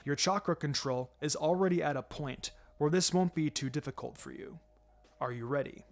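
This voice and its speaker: A man voicing a calm, firm ninja mentor in a fan fiction reading, encouraging and dryly teasing in a measured, instructive way. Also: fairly high pitch, faint music behind, close to an everyday voice. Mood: calm instruction. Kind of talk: fan fiction character dialogue.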